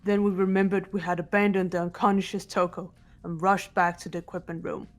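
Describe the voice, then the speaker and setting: A woman's voice: lowish voice, level tone, brisk, faint music behind, close to an everyday voice. A woman playing a composed, serious teenage girl detective in a mystery video game. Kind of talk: dramatic game dialogue and recap narration.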